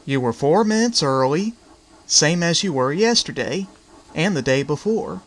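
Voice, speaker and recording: A man's voice: on the high side, lively intonation, unhurried. A man voicing an earnest, literal-minded little male brake van in a children's railway story, precise in manner. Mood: matter-of-fact.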